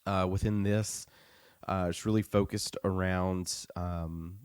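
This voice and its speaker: A man with a very low, smooth voice, still recovering from the flu, talks through his thoughts on a podcast. He is measured and steady, pausing often on "uh", and his voice sounds dry and close. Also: no echo. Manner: measured, with frequent "uh" pauses. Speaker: podcast co-host. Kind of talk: casual review chat.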